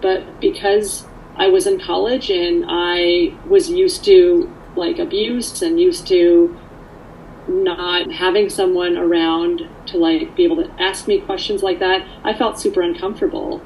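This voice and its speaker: Over light background noise, a woman speaks in a lowish voice with plenty of creak. She shares her personal story conversationally, candid and earnest throughout. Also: fairly even intonation, unhurried, a little mellow, low-quality recording. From Canada, born in Poland.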